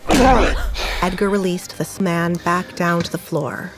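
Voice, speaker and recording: Narrator: woman with a lower voice reading a drama evenly over faint music. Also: takes it slowly. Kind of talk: scripted story narration.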